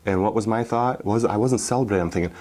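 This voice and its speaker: Deep-voiced man in his forties recalling. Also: fast. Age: 40s. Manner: relaxed, many fillers and restarts, animated when telling game stories. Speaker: former football player, interview guest. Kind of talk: casual storytelling interview.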